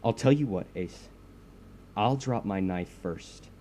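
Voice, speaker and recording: A man playing a pompous, self-righteous superhero in an audio drama, husky and threatening. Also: on the low side, slow pace, dark tone, a little hiss or hum. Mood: commanding.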